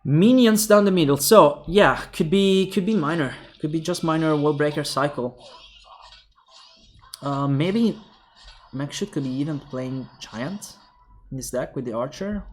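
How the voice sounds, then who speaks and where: A young man with an Italian accent casting a mobile game tournament alone, calling plays quickly and thinking aloud to viewers. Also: a little high, a little hiss or hum. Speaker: esports caster. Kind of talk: solo esports play-by-play commentary.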